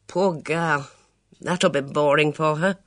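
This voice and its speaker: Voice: medium pitch, steady tone, dry recording, clean studio sound. A reader voicing a bold, reckless middle-aged woman who speaks sharply and commandingly. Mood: imperious. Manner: brisk, imperious, sharp.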